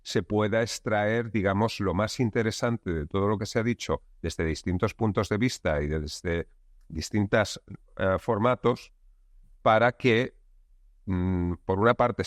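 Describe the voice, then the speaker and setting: A low-pitched man answers interview questions. He explains steadily and leans on fillers as he builds his points. The recording is dry and close-miked. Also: no echo. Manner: measured, explanatory, leans on filler words like digamos. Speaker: guest expert. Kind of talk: conversational interview.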